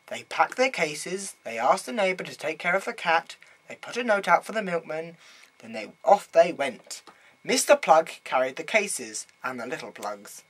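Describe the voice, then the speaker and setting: A man reading a children's bedtime story aloud in an animated way with dramatic emphasis. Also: a little high, husky.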